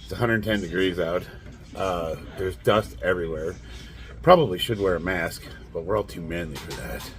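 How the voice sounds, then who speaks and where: A man in his late forties with a raspy, nasal, stuffed-up voice, rambling bluntly and laughing often as he talks to camera. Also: low voice, normal speed. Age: 40s. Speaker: fitness vlogger. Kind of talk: casual monologue to camera.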